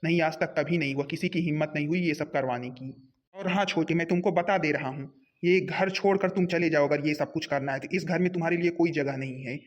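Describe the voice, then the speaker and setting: Casting: man acting as a scolding, domineering elder brother for a family cartoon, fairly high voice. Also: brisk, lo-fi sound, natural voice. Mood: angry, scolding. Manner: scolding, repetitive, bossy.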